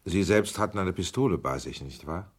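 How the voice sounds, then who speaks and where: A persistent examiner at an official inquiry in a radio play. The voice is deep and somewhat raspy. He questions formally and pointedly, pressing for each answer. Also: expressive, a little fast. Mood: persistent, polite. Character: probing, persistent male inquiry committee examiner. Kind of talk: German radio documentary drama acting.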